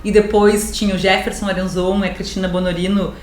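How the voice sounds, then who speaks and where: A woman's voice: medium pitch, flat delivery, brisk, darkish tone, slight background noise. Earnest woman professor, echoey. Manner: earnest, explanatory. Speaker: professor and writer. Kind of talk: interview talk.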